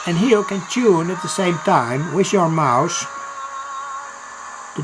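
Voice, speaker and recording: A hobbyist giving an unscripted demo at home, explaining piece by piece in a halting way with frequent pauses. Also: medium pitch, animated, over music. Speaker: hobbyist demonstrator. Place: home, indoors near a kitchen. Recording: noisy room with radio static. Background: radio noise.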